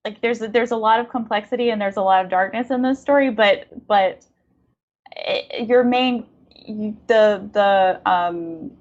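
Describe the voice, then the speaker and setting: A woman's voice: mid-range voice, unhurried, dull audio. A woman interviewing in a casual literary conversation, speaking in an effusive, affirming way. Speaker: podcast interviewer.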